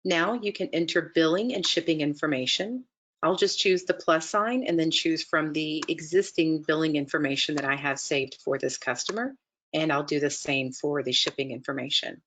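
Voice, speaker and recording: A woman narrating an instructional software demonstration in a methodical, explanatory way, step by step. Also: low-pitched, moderate pace, slight background noise. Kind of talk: instructional screen-share walkthrough narration.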